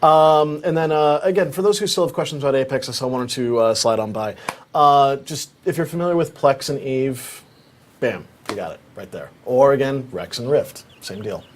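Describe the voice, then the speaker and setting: A man's voice: mid-range voice, normal speed, slight background noise. A man co-hosting a game livestream, upbeat and chatty with lots of fillers. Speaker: co-host on a game stream. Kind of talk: casual chat on a livestream.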